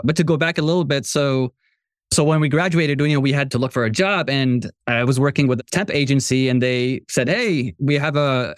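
A man from Morocco talking conversationally as a podcast guest, telling his own story as a software entrepreneur. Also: fairly high pitch, no room sound, studio quality.

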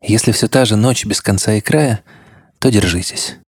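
Husky, lowish-voiced male podcast host. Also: moderate pace, no room sound, studio quality. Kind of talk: scripted narration, addressing the listener directly.

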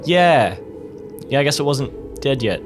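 A man's voice: mid-range voice, expressive, unhurried, over music, voice pushed up, close to an everyday voice. A man playing an uneasy driver with a terse, hesitant way of talking in a tabletop game. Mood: unconvincing agreement.

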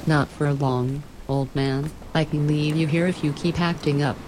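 A woman's voice: low-pitched, fairly even intonation, moderate pace, background noise, lightly acted. A woman voicing a bossy, arrogant red-headed female ship captain who speaks curtly. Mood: commanding. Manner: commanding, curt.

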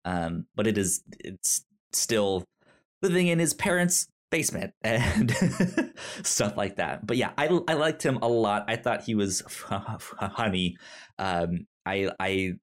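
A man co-hosting a casual film discussion, halting and often repeating the starts of his words. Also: fairly low pitch, animated. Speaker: podcast co-host reviewing a film.

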